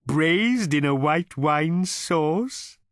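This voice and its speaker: A man voicing a large, contented talking cow in a storybook reading, slow, drawn-out and ingratiatingly polite like a waiter. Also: lively intonation, dry recording, clean studio sound. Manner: slow, drawn-out, obsequious lowing.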